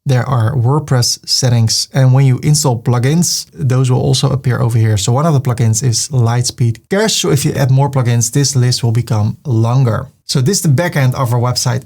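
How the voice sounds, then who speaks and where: A man from the Netherlands, calmly narrating a step-by-step software tutorial and describing each click as he makes it. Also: medium pitch, normal speed, creaky, hardly any pauses. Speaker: web design tutor. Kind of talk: screen-recorded software tutorial.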